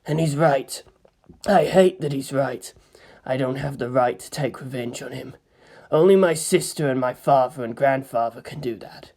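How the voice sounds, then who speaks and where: A woman's voice: very deep voice, normal speed, warm tone. A woman voicing a hot-headed, self-blaming teenage schoolboy in a fan fiction reading. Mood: bitter.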